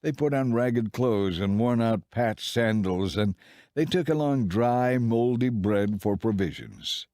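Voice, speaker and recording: A man giving solemn, measured narration of scripture for a dramatized audiobook. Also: medium pitch, expressive, dark tone, dry recording, studio quality.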